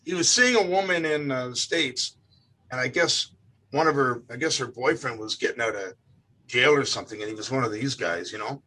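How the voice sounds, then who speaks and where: An older man from Canada, a guest musician telling stories about his family in a relaxed, rambling way, often repeating words. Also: mid-range voice, brisk, rough recording. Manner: rambling, repeats words, animated when quoting. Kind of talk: relaxed storytelling.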